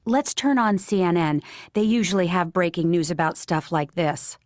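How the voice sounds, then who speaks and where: A woman reading both parts of a scripted textbook dialogue in a plain, even way. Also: lowish voice, moderate pace, no room sound, clean studio sound. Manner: plain, even read-aloud delivery.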